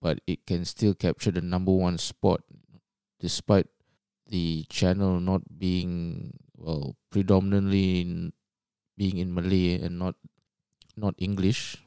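Low, flat-voiced man from Singapore. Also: clean tone, dry recording. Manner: low, monotonous and unhurried, with fillers. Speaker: podcast host. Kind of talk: casual solo podcast talk.

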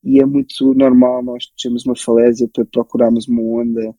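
A man's voice: medium pitch, completely flat delivery, brisk, gravelly, dark tone, no echo. A man in his twenties with a European Portuguese accent, telling his own story casually as an interview guest. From born in Belgium, grew up in Portugal from age six. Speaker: interview guest, photographer and filmmaker.

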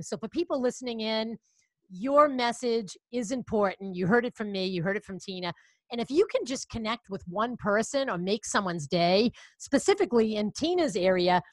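A woman's voice: mid-range voice, no echo. Chatty Boston-accented woman host. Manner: upbeat, chatty, encouraging. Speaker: podcast host. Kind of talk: casual interview chat.

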